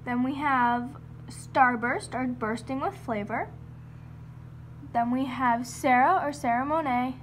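A woman giving a casual guided tour of her model horse barn, listing each horse in a steady run of "and then". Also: high voice, slow, a little hiss or hum. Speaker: model horse hobby vlogger. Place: indoors in a home room beside a toy horse barn.

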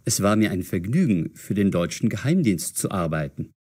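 A man's voice: low voice, sing-song, moderate pace, darkish tone, breathy edge, heavily acted. A man playing a polite, eager, naive young man in an audio drama, earnest in manner. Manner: earnest, courteous.

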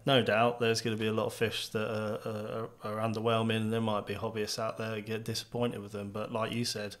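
Low, flat-voiced agreeable man. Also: a little fast. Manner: agreeable, hesitant, lots of ums and backchannel. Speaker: co-host. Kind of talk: casual podcast chat. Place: small studio room. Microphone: studio podcast mic on arm.

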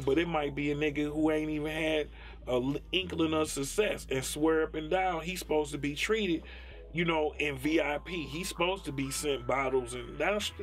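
A man from Detroit, a rapper, talking bluntly and profanely in a laid-back interview with a Detroit accent. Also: fairly high pitch. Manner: laid-back, blunt and profane, many "you know what I'm saying". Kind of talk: candid interview talk.

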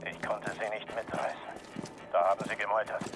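An actor playing a calm, commanding renegade colonel in a video game, speaking formally and ominously. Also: male voice, fairly low pitch, a little fast, lightly acted. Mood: grave, cold. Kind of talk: dramatic video game acting.

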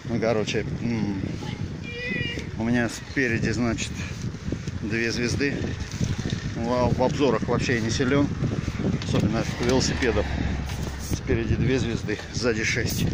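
A man rides a bicycle outdoors and talks into a handlebar-mounted phone. His voice sits at an ordinary pitch, and his delivery is loose and rambling, full of slang and fillers. Loud wind noise batters the microphone the whole way. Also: level tone, measured pace, long pauses. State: riding a bicycle while talking. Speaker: vlogger. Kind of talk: casual first-person ride commentary. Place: outdoors on a village road.

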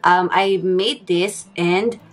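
Chatty, upbeat Filipino woman vlogging slowly. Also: lowish voice, fairly even intonation. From Philippines. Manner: chatty and upbeat, with frequent ums. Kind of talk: casual vlog talk to camera. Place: bedroom at home, indoors.

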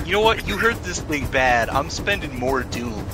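A man's voice: medium pitch, big pitch swings, normal speed. A man acting as game master in a tabletop role-playing game, joking and swearing freely. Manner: jokey, swearing, laughs often. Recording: music playing behind the voice. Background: music.

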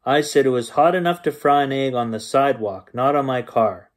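A man's voice: mid-range voice, fairly quick, lightly acted. A man reading a children's storybook and voicing an exasperated, impatient man with a headache.

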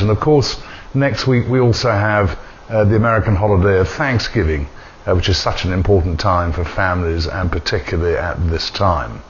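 An older man, a priest, leading a church service and reading the gospel in a measured, solemn way in a small chapel, recorded from a distant camera. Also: fairly low pitch. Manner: measured, solemn liturgical delivery. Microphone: far camera. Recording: dull lo-fi camera audio with faint hiss.

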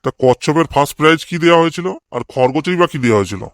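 A man's voice: on the high side, expressive, very fast, dry recording, lightly acted. A man voicing a stern, easily offended village tuition teacher in a comedy cartoon. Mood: bossy, questioning. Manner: questioning and bossy, scolds pupils.

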